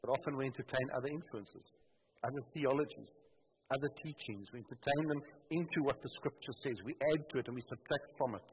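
A man's voice: mid-range voice, fairly quick, slight background noise. Man, 40s, emphatic sermon, headset mic, long church reverb, faint room ambience. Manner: emphatic, rhetorical questions, stresses key words. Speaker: pastor. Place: church stage at a wooden pulpit.

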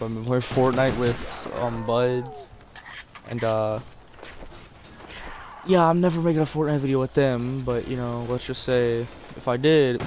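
A man's voice: mid-range voice, darkish tone. A man doing casual, rambling, self-deprecating gameplay commentary with frequent swearing and a slightly sore throat. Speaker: gaming video host. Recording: game gunfire and noise behind voice. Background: zombie shooter gunfire and ambience.

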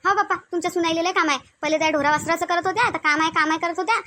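Nagging middle-aged mother-in-law played by a woman for comic cartoon; very high pitch, rapid Varhadi delivery, sharp-tongued. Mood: scolding. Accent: Varhadi Marathi of Vidarbha. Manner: complaining, scolding, rhetorical questions.

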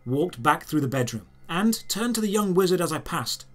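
A man narrating a scripted audio drama in the first person, in measured, deliberate phrases. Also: a little high, sing-song, a bit bright, faint music behind. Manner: measured, storytelling delivery in short deliberate phrases.

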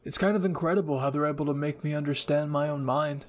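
A man voicing a wounded, remorseful young villain in an anime roleplay, speaking softly in a halting, weary way. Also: a little high, lightly acted. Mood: calm, sheepish. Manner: halting, weak, pleading. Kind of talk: anime ASMR roleplay acting.

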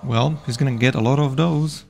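A man's voice: medium pitch, normal speed, gravelly, a little mellow, soft background music. A man streaming a digital card game live, playfully chatting with his opponents and viewers.

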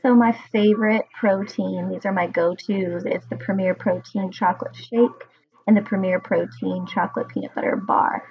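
A woman's voice: medium pitch, fairly even intonation, moderate pace, breathy edge, dull audio. A woman in her thirties, a home cooking vlogger, talking casually through her meal prep with a chatty, enthusiastic manner and plenty of ums. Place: home kitchen, indoors.

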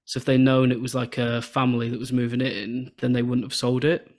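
An English man in his thirties from southern England, hosting a podcast over a video call with a soothing, relaxed cadence. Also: medium pitch, brisk. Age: 30s. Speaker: podcast host. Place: home room with shelves and lights. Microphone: podcast microphone on video call.

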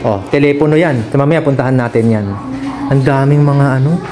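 A man in his thirties giving a casual, excited walkthrough vlog of an old house restaurant, filmed on a handheld phone. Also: medium pitch, brisk, music in the background. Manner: excited, exclaiming, pointing things out. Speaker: travel vlogger. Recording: noisy room ambience.

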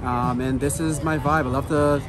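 A man's voice: medium pitch, steady tone, normal speed, over music. A middle-aged man, a brewery brand ambassador, talking casually and upbeat about his beers on an outdoor city patio, recorded close on a phone. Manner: upbeat, salesy, laid-back. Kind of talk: casual on-street interview. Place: outdoor city patio beside a busy street. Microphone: handheld phone camera close by. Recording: street traffic noise behind. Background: passing cars, city street sounds.

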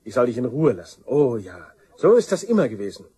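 An actor playing a bitter, aggrieved younger son in a German crime radio play, sarcastic and accusing. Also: male voice, mid-range voice, sing-song, brisk, warm tone, husky.

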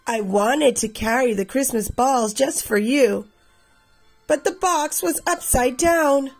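A woman in her thirties reading a picture book aloud expressively for children, with a slightly husky, creaky voice. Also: high-pitched, slow pace, faint music behind. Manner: addresses children directly. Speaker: read-aloud storyteller for children.

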